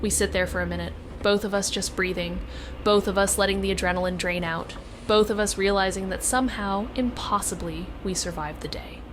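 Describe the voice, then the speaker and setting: An actress playing a female amateur sleuth in a noir audio drama, introspective and steady, with deliberate narration and dry, understated dialogue. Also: medium pitch, noisy background, lightly acted. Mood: brooding, reflective.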